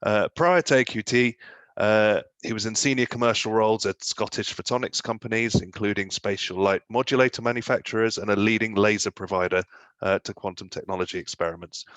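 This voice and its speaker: A man moderates an online conference, introducing the next speaker in a measured way. His voice sits low and sounds dry and close, with no room around it. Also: a bit bright, no room sound. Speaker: event moderator.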